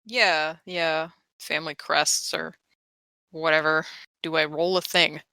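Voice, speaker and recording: A woman with a slightly low but bright voice. She talks casually as a tabletop player, describing moves and asking questions, in a dry, close recording. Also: little pitch movement, takes it slowly, creaky, no echo. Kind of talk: casual in-game talk.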